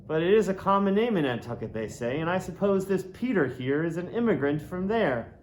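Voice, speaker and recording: A man reading a classic novel aloud in a deliberate, dramatic way, lingering on words. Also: high voice, sing-song, a little hiss or hum, rough recording. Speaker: audiobook reader. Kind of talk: literary narration, theatrical reading of a classic novel.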